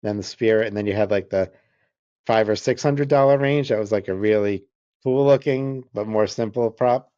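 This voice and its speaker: A middle-aged man hosting a casual chat, upbeat and joking, talking in quick bursts. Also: fairly low pitch, warm tone, no room sound. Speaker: host. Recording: dry, muffled sound.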